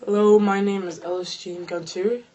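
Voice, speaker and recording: A sixteen-year-old girl introducing herself calmly. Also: mid-range voice, moderate pace, lo-fi sound. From United States. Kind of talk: casual self-introduction.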